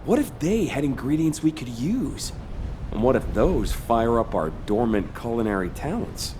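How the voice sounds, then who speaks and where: Man as an animated, eager soldier pal. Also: medium pitch, moderate pace, a little hiss or hum, lightly acted. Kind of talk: video game voice acting.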